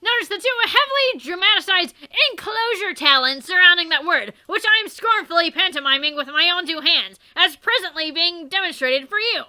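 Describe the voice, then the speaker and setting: Woman voicing a furious troll boy, loud and high, ranting and overwrought, game read-through. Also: expressive, moderate pace, hardly any pauses, full character voice. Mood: scornful. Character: furious shouting male troll youth.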